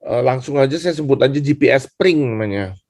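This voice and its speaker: A man's voice: mid-range voice, animated, a little fast, a little mellow, no room sound, studio quality. A man speaking as a student in a class discussion, explaining informally and deferentially, circling back over his points. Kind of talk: informal spoken question and explanation.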